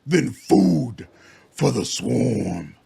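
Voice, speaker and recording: A man voicing a slow, pompous, ravenous swarm lord. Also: mid-range voice, big pitch swings, gravelly, mellow, slight background noise, full character voice. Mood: menacing. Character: menacing, ravenous commander of a devouring insect swarm. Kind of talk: fantasy game character voice.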